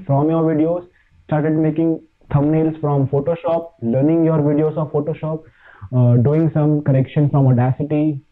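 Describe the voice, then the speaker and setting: An Indian-accented man whose first language is Hindi talks casually as a guest, stopping often to search for words. His voice sits a little high. It comes through a thin, phone-like line. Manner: halting, many fillers and restarts. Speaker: podcast guest, fitness YouTuber. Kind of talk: casual chat.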